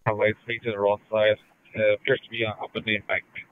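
A man's voice: on the low side, level tone, fast talker, no echo. A man reporting as a police officer over a muffled scanner radio.

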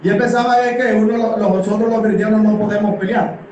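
A man's voice: sits high, fairly even intonation, fairly quick, a crowd in the background. A middle-aged man with a Panamanian accent preaching an impassioned, conversational sermon full of rhetorical tag questions. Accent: Panamanian Spanish. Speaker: church elder preaching. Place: small church hall full of congregants, indoors. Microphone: distant from camera, echoing through the room. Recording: echoey hall from far off, background noise.

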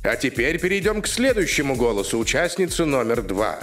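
A man's voice: medium pitch, very animated, a little fast, fairly bright tone, soft background music. A man voicing a polite, ceremonious elderly henchman who hosts a contest in a cartoon dub. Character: elderly formal male henchman hosting a contest. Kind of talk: cartoon dub, game-show announcing.

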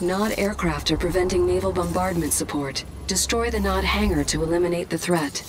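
A woman's voice: lowish voice, a little fast, very noisy, lightly acted. A woman voicing an efficient battlefield announcer in a war video game, with clipped, formal, impersonal delivery. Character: cool, efficient military battlefield computer announcer. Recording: loud music over game audio.

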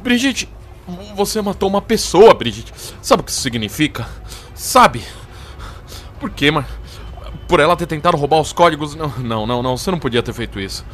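An agitated, hot-tempered programmer. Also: male voice, a little high, sing-song, a little fast, fairly bright tone, a little hiss or hum. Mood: furious, distraught. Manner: agitated, sweary, stammering. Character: hot-tempered, accusing male programmer, distraught and cornered. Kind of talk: scripted audio drama acting.

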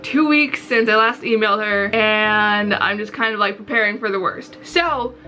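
A woman's voice: on the high side, bright, forceful tone. A young American woman from Florida chatting candidly and informally in a casual vlog. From Florida, United States. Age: young adult. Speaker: vlogger and visual novel developer. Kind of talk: casual vlog chat about own project. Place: small indoor home room.

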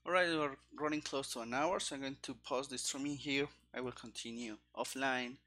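A man in his thirties giving casual live coding commentary, haltingly thinking aloud into a headset mic. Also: medium pitch, pushed and bright. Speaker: programmer live-streaming game development. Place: small room indoors at a desk. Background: keyboard typing and clicking.

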